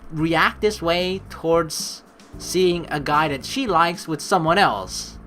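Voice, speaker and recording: A man's voice: a little high, takes it slowly, sharp tone. A man doing casual let's play commentary, thinking aloud in a rambling, informal way with some swearing. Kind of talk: casual game commentary with reading of on-screen text. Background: game music.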